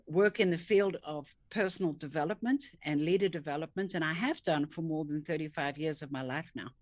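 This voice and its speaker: A woman's voice: deep voice, dry recording. A woman, a coach telling her story earnestly in an interview over a video call. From South Africa. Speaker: guest, thinking coach. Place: home office, indoors. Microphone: video call webcam.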